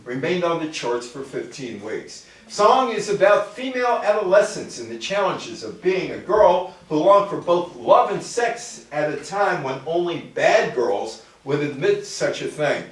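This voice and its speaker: An older man with an American accent giving a conversational public talk, reading from notes and quizzing his listeners with asides. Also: a little high. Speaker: lecturer. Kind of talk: public talk to a live audience, part read from notes, with questions to listeners. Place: indoor room with live audience. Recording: very echoey room, distant microphone.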